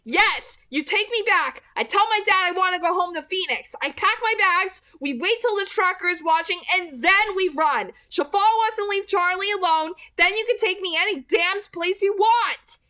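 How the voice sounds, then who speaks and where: A woman's voice: very high voice, normal speed, hardly any pauses, sharp tone, voice pushed up. A woman voicing a desperate, stubborn teenage boy in an audiobook, speaking with urgent, pleading insistence. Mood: insistent. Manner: urgent, insistent, breathless emphasis. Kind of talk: dramatic audiobook character reading.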